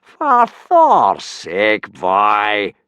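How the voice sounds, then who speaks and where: A man voicing a booming, blustering Viking warrior who teaches boys, in a storybook reading. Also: wildly swooping pitch, drawn-out words, bright, forceful tone, clean tone, no room sound, exaggerated voice.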